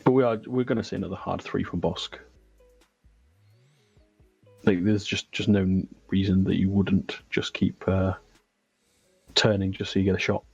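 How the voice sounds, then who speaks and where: Male co-host, slightly rough husky voice, casual game commentary, dry teasing, faint music underneath. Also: low-pitched, little pitch movement, moderate pace, long pauses, darkish tone, no echo, dull audio. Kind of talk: live commentary on a tabletop miniatures game.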